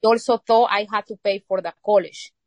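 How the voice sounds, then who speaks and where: A woman from El Salvador whose first language is Spanish, speaking English with a Salvadoran Spanish accent as an interview guest, halting and searching for words with many pauses. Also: mid-range voice, steady tone, dry recording. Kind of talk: casual interview conversation.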